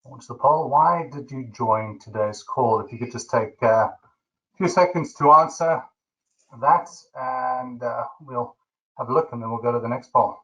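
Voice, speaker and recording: South african guy hosting a webinar, chatty, jokey, sharp bright voice. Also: medium pitch, measured pace, lo-fi sound. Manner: chatty, jokey, with many fillers. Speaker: webinar host.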